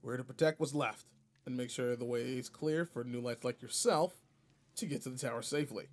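A man's voice: medium pitch, brisk, lightly acted. A man playing a seasoned but laid-back space guardian mentor in a video game roleplay, casual, slangy and wry as he talks to a partner. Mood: reflective. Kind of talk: video game roleplay audio, in-character monologue.

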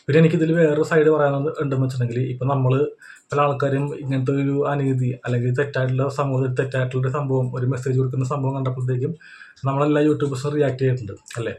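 A man's voice: mid-range voice, flat delivery, very fast, a little mellow, slight background noise. A man giving unscripted opinion commentary in a repetitive, explanatory way, often checking in with the listener. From India. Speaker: YouTube reaction commentator.

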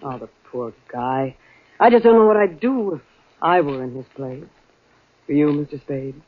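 A sympathetic, wistful character acted by a man in old radio drama. The voice is high and hesitant, heard through a tinny, narrow recording. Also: animated, normal speed, very noisy, close to an everyday voice. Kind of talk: 1940s radio drama acting.

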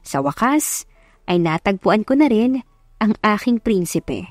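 A woman's voice: mid-range voice, very animated, a little slow, dry recording, clean studio sound. A woman reading a scripted first-person love story as an audiobook narrator.

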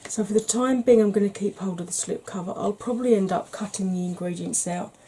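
A middle-aged woman chatting softly in a rambling, gentle way for an ASMR makeup vlog, seated in a small room at home. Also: mid-range voice, fairly quick, slight background noise. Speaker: beauty YouTuber. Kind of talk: soft-spoken ASMR makeup chat.

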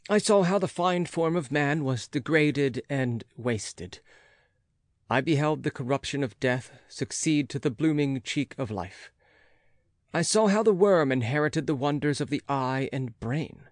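A man reading a classic gothic novel as an audiobook narrator, in a measured, formal and dramatic delivery. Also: a little high, no echo, studio quality.

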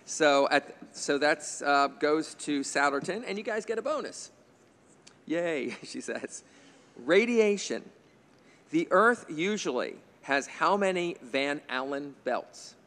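Joking middle-aged quiz host, reverberant. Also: male voice, on the high side, lively intonation, slow pace, fairly bright tone. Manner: chatty, jokey asides, chuckles at his own remarks. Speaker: quiz bowl moderator. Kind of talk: reading quiz questions with light banter. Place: indoor TV studio stage. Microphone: desk microphone on a stand.

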